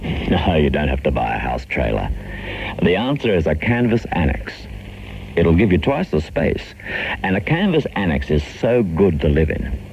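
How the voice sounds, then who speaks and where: A man's voice: sits low, very animated, moderate pace, darkish tone. A man reading a scripted radio commercial as an announcer. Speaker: advertisement announcer. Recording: muffled, faintly noisy 1970s radio aircheck.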